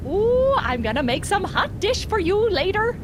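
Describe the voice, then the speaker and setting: A woman's voice: extremely high pitch, very animated, moderate pace, noisy background. An actress playing a cheery, motherly small-town innkeeper in a video game, with a Minnesotan accent and a folksy, gushing manner. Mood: cheery, welcoming. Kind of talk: video game character dialogue.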